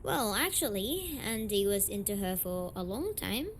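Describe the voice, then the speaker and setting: A young woman playing a blunt, defensive tsundere delinquent girlfriend in an amateur romantic audio roleplay, speaking haltingly and swearing. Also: mid-range voice, very animated, slight background noise. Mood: candid, bitter. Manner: hesitant, halting, foul-mouthed, defensive.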